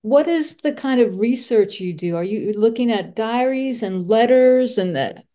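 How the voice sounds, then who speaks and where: A woman's voice: a little high, expressive, measured pace, mellow. A woman hosting a podcast interview, speaking informally and searching for words as she repeats herself. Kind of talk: conversational interview.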